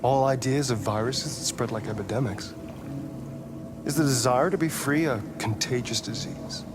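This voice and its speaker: A man's voice: medium pitch, close to an everyday voice. A man with an American accent playing a cool, arrogant, philosophical tech genius in a cinematic video game. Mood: probing, provocative. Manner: philosophical, measured, smug. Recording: music under the voice.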